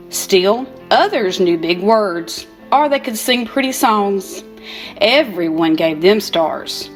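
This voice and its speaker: An older woman reading a children's storybook aloud in an expressive, unhurried storytelling manner. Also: medium pitch. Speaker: storybook reader.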